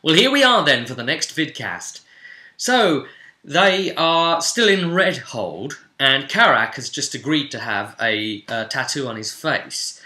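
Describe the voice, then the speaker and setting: Bright-voiced man in an echoey room. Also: medium pitch, animated, measured pace. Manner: rambling, conversational asides. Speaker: game master recapping a tabletop role-playing session. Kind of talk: casual spoken story recap, vlog. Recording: roomy, echoing voice recording.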